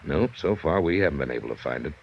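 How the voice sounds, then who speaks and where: An actor playing a dry-witted, skeptical American police lieutenant in a 1950s radio crime drama, plain-spoken and gruff. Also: male voice, rumbling low, lively intonation, quick pace, heavily acted. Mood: skeptical, weary. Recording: dull vintage radio recording.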